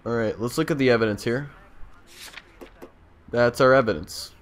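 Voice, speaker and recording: A man's voice: medium pitch, normal speed, slight background noise. A young man giving casual, jokey commentary on a game, slangy and thinking aloud. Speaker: gamer, walkthrough host. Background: faint game music.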